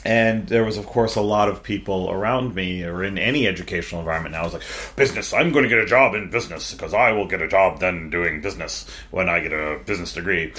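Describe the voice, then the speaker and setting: A man's voice: low voice, quick pace, few pauses, a bit bright. A man hosting a casual podcast conversation, talking in a wry, rambling, self-deprecating way. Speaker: podcast host.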